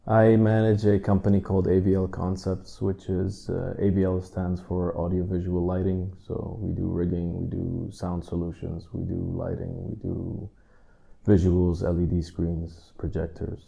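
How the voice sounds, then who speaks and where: Gravelly deep-voiced man in his thirties. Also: moderate pace. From Netherlands. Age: 30s. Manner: relaxed, rambling with many "like" and "you know" asides. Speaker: drummer interviewee. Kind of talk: casual interview answers.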